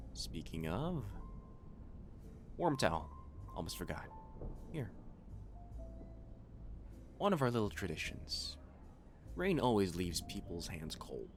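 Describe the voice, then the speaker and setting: A man acts out a composed, thoughtful catboy waiter in a cafe ASMR roleplay. His voice sits fairly low but moves expressively, gentle and reassuring, over clear background music. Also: big pitch swings, unhurried, long pauses. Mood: caring. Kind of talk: ASMR roleplay, addressing the listener. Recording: music behind the voice.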